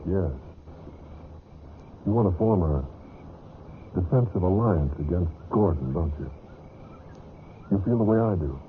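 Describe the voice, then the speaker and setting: A man's voice: low voice, expressive, measured pace, pauses often. An American actor in a vintage radio drama, playing a wary, uneasy man with a hesitant, guarded way of talking. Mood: guarded, confiding. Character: uneasy, wary man among distrusted companions. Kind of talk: 1940s-50s radio drama acting. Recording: tinny, noisy old radio recording.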